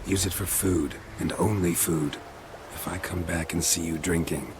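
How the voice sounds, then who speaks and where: An American actor playing a weary, stoic mercenary monster hunter in a video game, deadpan and terse. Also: male voice, low voice, normal speed, noisy background, close to an everyday voice. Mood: dry, firm. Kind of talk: video game cutscene acting.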